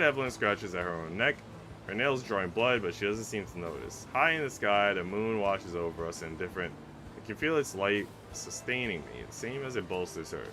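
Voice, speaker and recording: A man reading a visual novel aloud as a streamer, in an expressive, dramatic narration that drops hushed and rises with emphasis. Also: fairly low pitch, slight background noise, rough recording. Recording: faint game music behind. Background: game music.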